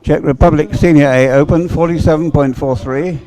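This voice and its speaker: A man's voice: a little high, fairly even intonation, normal speed, mellow. A man giving unscripted live sports commentary as a race commentator, rambling a little and thinking aloud.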